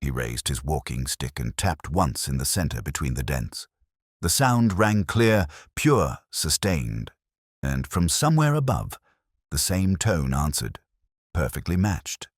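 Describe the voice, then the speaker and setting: Very deep-voiced man narrating a mystery. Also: sing-song, a little slow, no room sound, studio quality. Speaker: audiobook narrator. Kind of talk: scripted first-person detective story narration.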